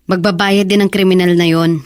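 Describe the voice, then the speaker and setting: A woman's voice: fairly low pitch, level tone, a little fast, natural voice. A woman playing a wounded, guarded young woman who harbors anger, acted in a melodramatic, hesitant radio drama manner. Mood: seething anger. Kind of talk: Filipino radio drama acting.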